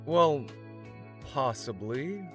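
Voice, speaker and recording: A man's voice: medium pitch, animated, very slow, faint music behind. An actor playing a hot-headed, easily exasperated young father and hero in a fan audio drama. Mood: sheepish, casual.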